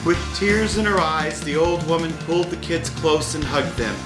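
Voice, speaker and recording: A man reading a children's story as scripted narration, with a wry, deliberate delivery. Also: a little high. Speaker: audiobook reader. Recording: roomy sound with music behind.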